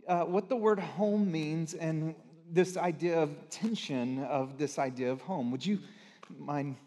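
Male pastor, noticeably high pitch, casual address to a congregation in a big echoing room. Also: measured pace, dark tone. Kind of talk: informal church address to congregation. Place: large church room, live address. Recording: long hall reverb.

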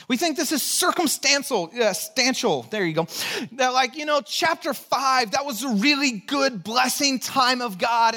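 A man with a Texan accent preaching a conversational, animated sermon, dropping to hushed low lines and jumping into lively high asides. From Texas. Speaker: pastor. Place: church sanctuary.

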